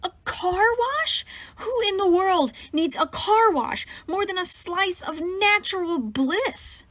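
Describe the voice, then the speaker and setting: A woman's voice: extremely high pitch, lively intonation, takes it slowly, a bit bright, no room sound, voice pushed up, heavily acted. A woman voicing a shy young girl who loves birds, earnest and strained, close to tears. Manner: earnest, strained, overly polite. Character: shy tearful bird-loving young girl.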